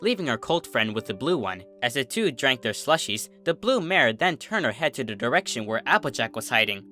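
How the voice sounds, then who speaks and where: A man's voice: mid-range voice, sharp tone. A man reading a scripted story aloud as the narrator of fan fiction.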